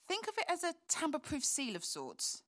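A woman's voice: high-pitched, huge pitch swings, moderate pace, a bit bright, exaggerated voice. A woman playing an affectionate, devout young student and mother-to-be in a modern audio drama, speaking conversationally. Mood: insistent. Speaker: actress in audio play.

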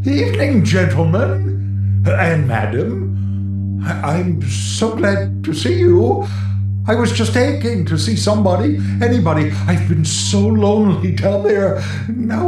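A man playing a frightened disc jockey unraveling in a haunted house, halting and stammering, in a radio drama. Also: high-pitched, full character voice. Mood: unhinged, pleading. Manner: halting, stammering, talking to his listeners. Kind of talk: dramatic radio play acting. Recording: echoey, distant, music underneath.

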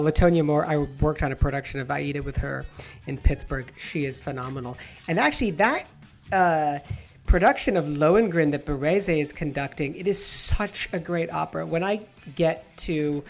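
A man hosting a talk show about opera, chatting in quick, animated bursts. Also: on the high side, vocal fry. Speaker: host. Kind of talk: casual chat.

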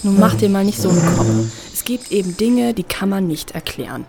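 A woman's voice: mid-range voice, lively intonation, fairly quick, creaky. An actress playing a down-to-earth, consoling village woman in a radio drama, with a chatty, colloquial manner. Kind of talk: German audio drama acting. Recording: noisy background.

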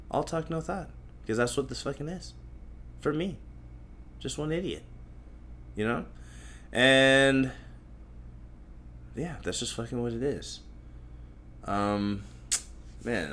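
A man's voice: medium pitch, a bit bright. A young man hosting a solo podcast, rambling in a crude, profane and self-mocking way that often loses its thread. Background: faint noise from outside.

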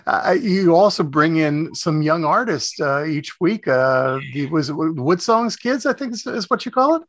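A man hosting a conversational radio interview, speaking appreciatively and a little haltingly, repeating words as he goes. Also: fairly high pitch, animated, fairly bright tone, no echo, studio quality.

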